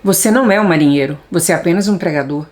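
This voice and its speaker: A woman's voice: fairly low pitch, animated, brisk, creaky, darkish tone. A Brazilian woman voicing an arrogant, dismissive male ship captain from a book, scornful and self-assured.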